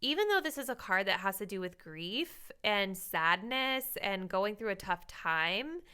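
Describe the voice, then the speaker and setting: A young woman talks through a tarot reading in a loose, chatty way, dropping a lot of "like"s as she thinks aloud. Her tone is bright and forward, with a slight rasp from talking a lot. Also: mid-range voice, animated, unhurried. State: slightly raspy voice. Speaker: tarot reader. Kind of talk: casual tarot card reading to viewers.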